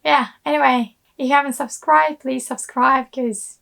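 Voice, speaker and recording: A young woman with a hoarse voice, since she has been ill, explaining words casually in a language lesson, with a relaxed, chatty manner and many fillers. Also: sits high, moderate pace. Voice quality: hoarse, gravelly. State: hoarse from illness. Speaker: Japanese language teacher.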